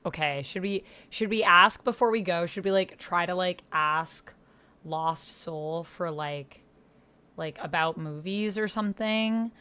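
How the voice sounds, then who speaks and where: A woman with a slightly low voice streams a text-based roleplaying game. She talks casually, thinking aloud and reacting to what she reads as she addresses her chat. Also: unhurried, bright, forceful tone, clean tone.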